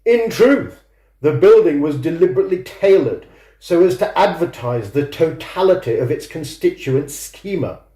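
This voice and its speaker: A man who is an author introducing and reading his own fiction in a measured, expressive storytelling manner. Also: a little high. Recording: roomy, echoing sound.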